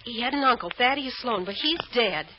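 A woman's voice: a little high, animated, fairly quick, natural voice. An actress playing a guilty, hard-drinking, bitter bad girl in an old-time radio drama, with a volatile, emotional delivery. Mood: uncertain. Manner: emotional, swinging from nervy pleading to bitter relish. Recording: muffled, noisy old radio recording.

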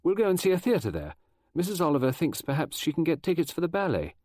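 A gentle, vague middle-aged mother, voiced by a man in a lightly raised tone. She talks softly and hesitates, trailing off. Dry, close sound. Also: a little high, wildly swooping pitch, quick pace, no echo, pitched above the performer's own voice.

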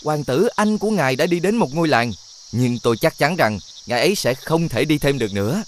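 A wise cartoon fox, acted by a man with a fairly high voice that moves up and down a lot. He sounds earnest and insistent, like a friendly guide in a fairy tale. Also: animated, fairly quick, a little hiss or hum, close to an everyday voice. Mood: indignant, urgent. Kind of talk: animated fairy-tale dubbing.

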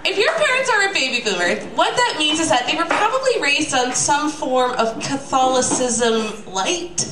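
A young woman telling a comic monologue to a live audience, in a sardonic, emphatic, casual manner. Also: high voice. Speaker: comedic storyteller at a live reading. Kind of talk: stand-up style comic monologue. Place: small live venue, bistro. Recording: echoey venue with audience, distant mic. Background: audience crowd.

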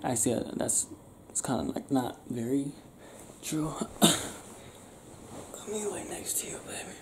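A young man doing an improvised ASMR boyfriend roleplay, talking softly and affectionately in a breathy, teasing, near-whispered way. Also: mid-range voice, lively intonation, slow pace. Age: young adult. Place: small bedroom, lying on a bed indoors. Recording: roomy sound with faint background noise.

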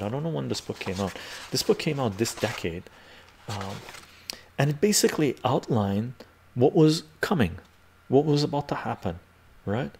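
An older man from western Canada hosting a casual live stream from his home study on a lapel mic, talking emphatically, often adding "right?" and sometimes dropping to a soft whisper. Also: mid-range voice, gravelly, a little hiss or hum. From born in Iran, raised in western Canada. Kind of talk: casual live stream talk reading chat. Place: home study lined with bookshelves.

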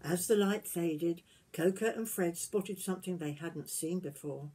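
A woman in her sixties reads a picture book aloud as a granny would to young children, adding gentle questions and asides to them. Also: fairly low pitch, measured pace, a little hiss or hum, poor audio. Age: 60s. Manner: gentle questioning, addressing young listeners directly. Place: small wood-panelled garden room, indoors.

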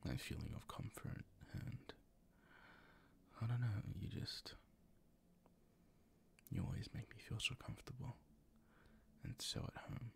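Man acting a very gravelly, whispering doting boyfriend. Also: medium pitch, animated. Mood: tender. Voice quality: breathy whisper with gravelly fry. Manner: soft, coaxing, slow and reassuring. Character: doting, gently dominant, teasing boyfriend comforting his partner. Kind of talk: intimate ASMR boyfriend roleplay, improvised.

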